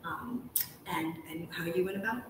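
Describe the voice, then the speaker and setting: A woman's voice: on the low side, moderate pace. A woman in her thirties hosting a casual live interview, chatty, rephrasing as she goes. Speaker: interview host. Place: large hotel room, indoors. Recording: very echoey room, distant mic, faint noise.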